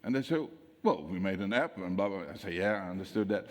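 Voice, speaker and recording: A man's voice: low-pitched, quick pace. A man from the Netherlands with a Dutch accent, giving a conference talk on security, acting out dialogue and quizzing the audience. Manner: lively storyteller, acts out dialogue, asks audience questions. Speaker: security speaker. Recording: long hall reverb.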